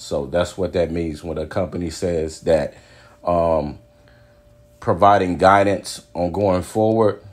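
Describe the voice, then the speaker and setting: Deep, mellow-voiced man on business news. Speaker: commentator presenting earnings news. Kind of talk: informal commentary and reading aloud of financial news.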